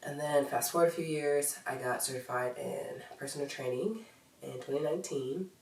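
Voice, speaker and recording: A woman's voice: sits low, normal speed, darkish tone. An American woman in her thirties with a congested, nasal voice, chatting casually and informally as a fitness vlogger giving an update. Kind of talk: casual vlog update to camera. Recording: roomy echo, distant microphone.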